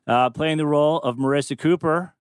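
A man's voice: fairly high pitch, a little fast, clean tone, no echo, clean studio sound. A man hosting a live table read and narrating stage directions, tossing in wry, joking asides.

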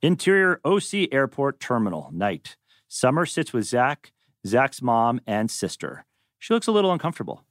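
Animated male script narrator. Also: medium pitch, moderate pace, no room sound, clean studio sound. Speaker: stage-direction narrator. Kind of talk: scripted narration at a table read.

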